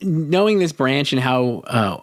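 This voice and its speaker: A man co-hosting a casual film awards discussion in a tentative, halting conversational manner. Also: medium pitch, animated. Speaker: podcast co-host.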